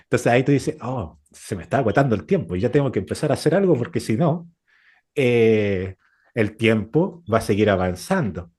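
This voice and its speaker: A man's voice: lowish voice, big pitch swings, normal speed, darkish tone, slightly rough. A middle-aged man with a Chilean accent chatting reflectively as a co-host, explaining with examples and rhetorical questions. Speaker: podcast co-host. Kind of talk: casual reflective conversation.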